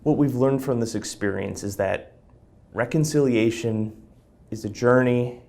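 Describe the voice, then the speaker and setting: A man host speaking in a soft-spoken, sincere way as he thanks a guest and closes the show. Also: mid-range voice, unhurried, creaky.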